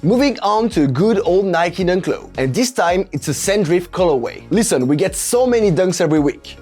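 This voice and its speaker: A man's voice: high-pitched, a little mellow. A young man with a French accent hosting a scripted sneaker review channel, speaking upbeat and straight to viewers from a small studio. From France.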